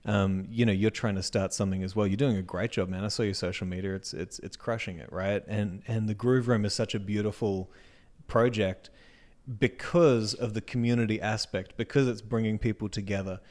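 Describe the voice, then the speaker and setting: An Australian man with a low-set voice talks through his plans as a guest on an interview show. He is earnest and reflective, and his sentences fade out softly at the ends. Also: fairly even intonation, a little fast. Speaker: guest, music educator. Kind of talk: casual interview talk.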